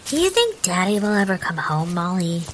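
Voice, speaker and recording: A woman's voice: on the low side, sing-song, a little fast, heavy background noise. A woman playing an imaginative young girl who plays adventure games with a childlike manner. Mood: wistful. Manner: playful, breathless, childlike. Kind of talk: audio drama acting.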